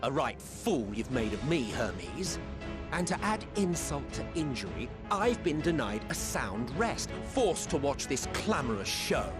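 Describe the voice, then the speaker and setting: A man's voice: mid-range voice, sing-song, unhurried. An actor playing a proud, weary, sardonic man in a video game cutscene, haughty and theatrical. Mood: wry exasperation. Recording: loud music behind, long reverb.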